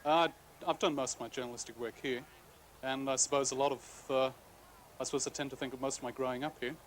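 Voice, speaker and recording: A man from Ireland with an Irish accent softened by years in Australia, a journalist answering interview questions with many hesitations. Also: mid-range voice, fairly even intonation, quick pace, a little hiss or hum. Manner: hesitant, many fillers and restarts. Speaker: political journalist.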